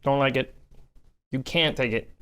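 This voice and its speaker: A man's voice: mid-range voice, normal speed, fairly bright tone, clean tone, close to an everyday voice. A man playing a gangster's worried, weary associate, wry and exasperated, in a script table reading. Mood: wary, worried.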